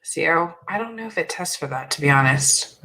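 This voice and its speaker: A woman chatting casually as a podcast co-host, slightly hoarse from losing her voice, self-deprecating and quick to laugh. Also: low-pitched, animated, pushed and bright, poor audio. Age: adult student. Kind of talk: casual chat.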